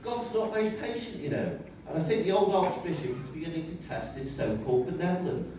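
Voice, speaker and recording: Man acting a cheerful deluded prophet, animated, very echoey. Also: on the high side, a little fast, natural voice. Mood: earnest, aggrieved. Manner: earnest, pompous, chatty. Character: deluded, cheerful male psychiatric patient, self-appointed prophet of God. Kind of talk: stage play script reading. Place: large echoey room, mic at a distance. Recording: very echoey, distant, noisy room.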